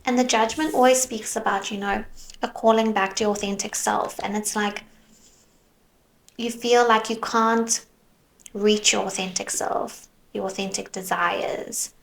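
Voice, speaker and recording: Slow gravelly-voiced woman reading tarot, kinda losing her voice, soft and dreamy. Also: medium pitch, fairly even intonation, rough recording. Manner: slow, soft, musing, drifts into whispers. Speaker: tarot reader.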